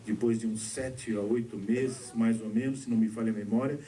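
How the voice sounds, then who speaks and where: Brazilian pastor telling a testimony from afar. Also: male voice, steady tone, a little fast, warm tone. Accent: Brazilian Portuguese. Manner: storytelling, acting out dialogue with shifts of pitch. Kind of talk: sermon testimony to a congregation. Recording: distant mic with faint ambience.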